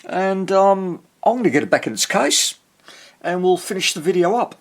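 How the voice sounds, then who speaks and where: A man's voice: high voice, expressive, moderate pace. A man with an Australian accent chatting casually to camera about a repair, rambling and thinking aloud in an easygoing way. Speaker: host of a vintage radio repair channel.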